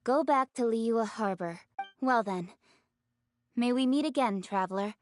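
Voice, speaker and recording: A woman's voice: on the high side, a little slow, close to an everyday voice. A woman playing a self-assured young noblewoman official in a video game, speaking formally and frankly. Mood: brisk, dismissive. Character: young noblewoman official, brisk, frank, proudly sceptical of gods. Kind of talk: video game dialogue acting.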